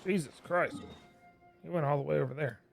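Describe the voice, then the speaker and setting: A woman's voice: sits low, a little fast, vocal fry, faint music behind. A woman streamer giving casual reaction commentary while playing a game.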